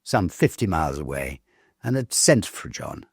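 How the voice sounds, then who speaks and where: A very low-voiced British man narrates a classic tale with theatrical, measured care. His voice is creaky and swoops widely in pitch as he reads. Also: very animated, dry recording, clean studio sound. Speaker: storyteller reading a classic ghost story. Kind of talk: expressive dramatic audiobook narration.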